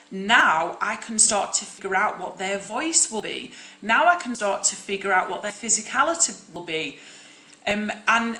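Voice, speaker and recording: A young woman, an acting coach, teaching in a loud, projecting and direct way on a live stream. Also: medium pitch, reverberant, rough recording.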